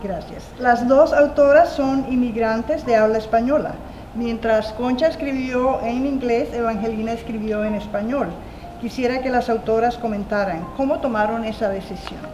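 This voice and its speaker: A woman's voice: fairly high pitch, normal speed, darkish tone. A woman moderating a book panel, brief and courteous as she poses questions. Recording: echoey room with music behind.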